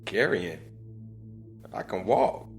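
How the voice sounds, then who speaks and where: An actor playing a dazed, frightened, wounded lieutenant who stammers haltingly in a science fiction audio drama. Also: male voice, low-pitched, very animated, faint music behind, exaggerated voice. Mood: dazed, fearful. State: groggy, frightened. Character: panicked, dazed wounded male lieutenant, jittery, disoriented.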